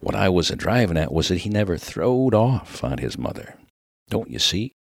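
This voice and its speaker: A man voicing a rough, good-natured Old West miner with a rustic American accent and a slangy, emphatic way of talking in a humorous story. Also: low voice, big pitch swings, normal speed, dry recording, studio quality, exaggerated voice. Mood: insistent. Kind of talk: dramatic reading of a humorous story.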